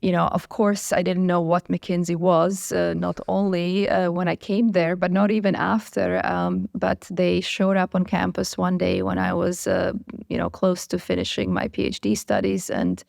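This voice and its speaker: A woman's voice: on the low side, moderate pace, vocal fry, a little mellow, no echo, clean studio sound. A woman in her forties from Croatia, a biotech chief executive answering interview questions thoughtfully, with frequent fillers and restarts. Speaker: biotech CEO, guest.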